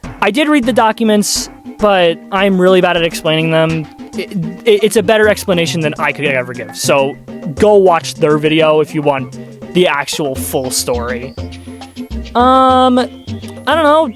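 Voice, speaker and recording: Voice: medium pitch, expressive, moderate pace. Casual video essay host, middle register, dry sardonic asides, music underneath. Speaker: host of a video commentary channel. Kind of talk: casual scripted video commentary.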